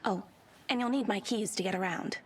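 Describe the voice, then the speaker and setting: A woman playing a flustered, devoted superfan in a video game, prim, folksy and halting. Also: mid-range voice, slight background noise, lightly acted. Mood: starstruck. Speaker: supporting character in a video game. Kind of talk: cinematic game acting.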